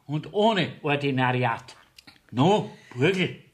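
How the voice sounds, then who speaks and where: An actor playing a sly, deferential village court clerk in a radio play, with a southern German dialect and an insinuating manner. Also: male voice, on the high side, expressive, slow. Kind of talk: 1970s radio drama acting in dialect.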